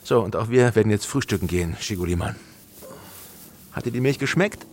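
An actor playing an eccentric, kindly goat herder who chuckles and drawls folksy philosophy in a German radio play. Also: male voice, fairly low pitch, animated, brisk, darkish tone, a little hiss or hum, natural voice. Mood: musing, amused. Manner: drawling, chuckling, ponderous pronouncements.

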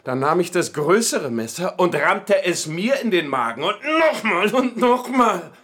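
A man's voice: high voice, sing-song, measured pace, a bit bright, heavily acted. An actor playing a betrayed, violent husband in his forties, telling his story bluntly in a radio play monologue. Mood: grim, intense. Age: 40s. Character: betrayed, violent 47-year-old husband recounting a bloody attack.

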